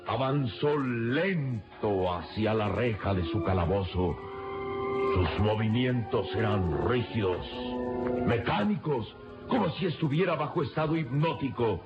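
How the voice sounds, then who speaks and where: A man's voice: mid-range voice, animated, measured pace. A man narrating an old adventure radio serial in a solemn, emphatic, suspenseful style. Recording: dull lo-fi radio serial, loud music.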